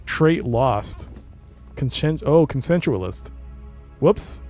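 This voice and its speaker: Man, game streamer, dark mellow tone, mid pitch, reading story text aloud, casual asides, faint music behind. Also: lively intonation, slow.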